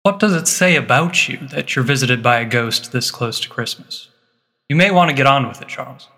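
A man's voice: mid-range voice, lively intonation, moderate pace, bright, forceful tone. A man voicing a mocking male ghost who interrupts and scolds, with a ghostly reverb on his voice. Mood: teasing. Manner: teasing, dismissive. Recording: long hall reverb.

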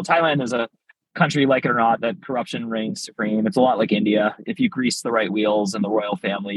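A man's voice: medium pitch, slightly rough, no echo, rough recording. A man appearing as a podcast guest, talking candidly in a rambling way, with frequent "like" fillers. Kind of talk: casual podcast interview.

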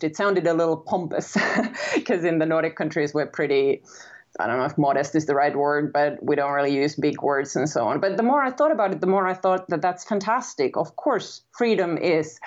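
A woman from Finland, a native Finnish speaker, speaks as a guest in a podcast interview. Her voice sits low, and she talks in a measured, reflective way with the odd light laugh. The remote audio sounds slightly dull. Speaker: author guest.

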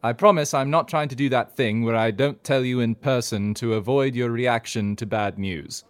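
An actor playing a level-headed, gently droll Victorian gentleman in an audio fiction comedy, diplomatic and wry. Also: medium pitch, normal speed, no room sound, close to an everyday voice. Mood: dry, measured.

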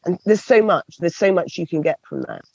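A woman with a croaky, hoarse voice, answering interview questions thoughtfully and often repeating words as she searches for phrasing. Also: on the low side, wildly swooping pitch, a little fast, warm tone, no echo, muffled recording. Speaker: podcast guest.

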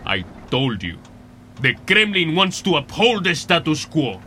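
A man playing a zealous, smooth-talking rebel leader with a grandiose, insistent delivery in an audio drama. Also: on the high side, very animated, unhurried, bright, forceful tone, a little hiss or hum, exaggerated voice. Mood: knowing, insistent.

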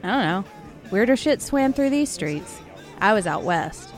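A woman plays a chatty bartender in her twenties for a radio-style drama. She is sardonic and colloquial, swinging her pitch up and down in animated fashion. Soft music sits behind her. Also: measured pace, some chatter behind, close to an everyday voice. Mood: wry. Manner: wry, casual, colloquial. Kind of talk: scripted audio drama dialogue.

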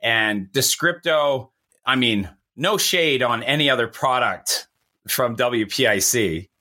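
Bright-voiced chatty male podcast host. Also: mid-range voice, slow pace. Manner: chatty, enthusiastic, repeats words when starting sentences. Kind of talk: interview hosting.

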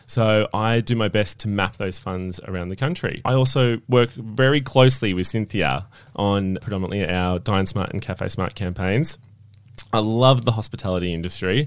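A man's voice: fairly low pitch, no echo. A man explaining his fundraising work earnestly on a podcast. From Australia. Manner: earnest, explanatory, starts with "so look". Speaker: guest, fundraising manager. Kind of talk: podcast interview.

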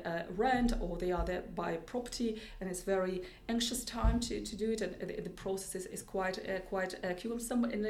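A woman's voice: medium pitch, a little mellow. Russian accent lady being interviewed, restarts a lot, roomy echo. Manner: thoughtful, many restarts and repeated words. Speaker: tech executive guest. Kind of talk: podcast interview.

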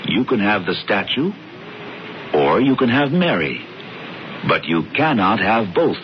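A dull, slightly noisy old radio drama recording carries a smooth-talking older brother. His deep voice moves widely up and down, with theatrical emphasis and deliberate, persuasive phrasing. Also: sing-song. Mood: insistent. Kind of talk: 1970s radio drama acting.

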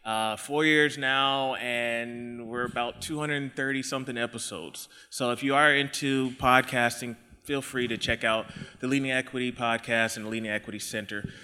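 A man's voice: mid-range voice, steady tone, moderate pace, bright, forceful tone, smooth. A man from Texas giving a friendly, joking talk to a live audience about his training work. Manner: friendly, joking, conversational with restarts. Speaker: podcast host and equity trainer, guest speaker. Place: live event hall. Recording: echoey hall.

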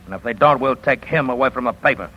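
Coolly confident crime boss, husky, tough and dismissive, old radio thriller, muffled vintage sound. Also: male voice, medium pitch, quick pace, pushed and bright. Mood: smug, menacing. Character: menacing, smug, coolly confident male gangster boss. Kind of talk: 1950s American radio drama acting. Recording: dull vintage 1950s radio broadcast.

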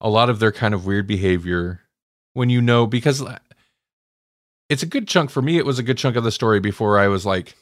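A man's voice: lowish voice, brisk, no room sound, clean studio sound. A man hosting a podcast, chatting casually as he reviews stories. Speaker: podcast host.

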